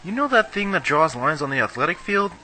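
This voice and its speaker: Bright, brash teenage schoolboy played by a man. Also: on the high side, sing-song, a little fast, heavily acted. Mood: gossipy, knowing. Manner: slangy, cocky, emphatic. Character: brash, gossipy, girl-crazy teenage schoolboy. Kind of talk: amateur anime fan dub dialogue.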